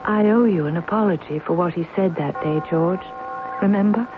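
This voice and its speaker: A woman's voice: fairly low pitch, moderate pace, close to an everyday voice. An actress playing a composed, earnest married woman living under a borrowed identity in a radio drama. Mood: composed, reassuring. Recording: narrowband old radio, faint noise.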